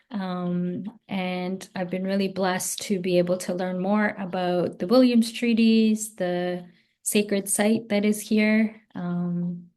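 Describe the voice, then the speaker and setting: A woman from Afghanistan hosting an online meeting, speaking thoughtfully with many pauses and ums. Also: lowish voice, warm tone. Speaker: host and circle coordinator. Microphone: video call.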